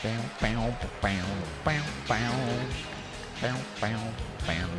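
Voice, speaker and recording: A man streaming live, reacting to a televised ceremony with loud excited exclamations. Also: on the low side, very slow, over loud music. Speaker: watch-along streamer.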